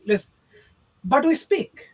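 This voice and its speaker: A man's voice: takes it slowly, a little mellow. A man from India with an Indian accent, a real estate agent hosting a casual motivational chat, speaking emphatically and often checking in with "okay?".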